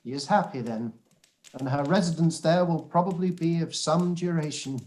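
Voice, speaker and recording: A man acts an older gentleman father in a radio play, fairly light in pitch for the part. He is weary and wry, delivering his words with dry deliberation. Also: normal speed, close to an everyday voice. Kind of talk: radio play live read.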